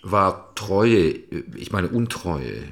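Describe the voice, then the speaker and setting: A private investigator in a radio play, politely pressing and sceptical. His voice is fairly low, with faint music underneath. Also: sing-song, drawn-out words, fairly bright tone, full character voice. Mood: probing. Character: sceptical male private detective, polite but probing. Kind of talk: German radio drama acting.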